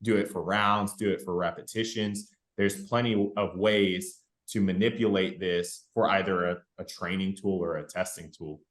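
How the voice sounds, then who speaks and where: A man's voice: deep voice, fairly even intonation, normal speed. A man hosting an informal instructional podcast discussion, explaining in a rambling way, repeating words and checking in with "right?". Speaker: podcast host and fire academy fitness instructor. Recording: roomy, echoey sound.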